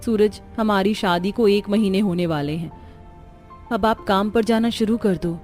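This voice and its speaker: Casting: female actor as earnest young cartoon bride, dark warm tone, faint music behind. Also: medium pitch, unhurried, close to an everyday voice. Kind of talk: Hindi cartoon family story dialogue.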